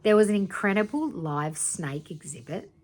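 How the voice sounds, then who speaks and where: A woman reads a children's storybook aloud like a primary teacher. Her voice sits slightly low and swings widely in pitch, with dramatic emphasis and little asides to her young listeners. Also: lively intonation, normal speed. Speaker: teacher reading aloud to primary school students.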